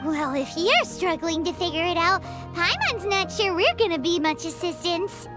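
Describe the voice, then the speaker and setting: A woman voicing a tiny, excitable floating fairy girl in a fantasy game, squeaky and childlike, blunt and cheeky. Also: moderate pace, loud music behind the voice. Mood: doubtful, uneasy. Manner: refers to herself by name, blunt. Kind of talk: cartoonish fantasy game dialogue.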